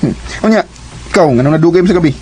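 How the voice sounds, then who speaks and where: A man's voice: fairly high pitch, lively intonation, racing, dark tone, a little hiss or hum, natural voice, poor audio. A man playing an eager, bashful young student suitor in a Cebuano radio drama, with a Cebuano Filipino accent. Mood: cheerful, friendly. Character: eager, bashful young male student courting his classmate.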